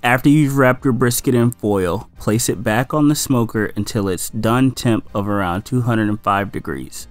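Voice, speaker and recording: A man's voice: mid-range voice, normal speed, hardly any pauses, no room sound, faint music behind. Man giving easygoing barbecue instructions. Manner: casual, relaxed instruction with "you're gonna wanna". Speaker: family member demonstrating a recipe. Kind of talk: instructional voice-over.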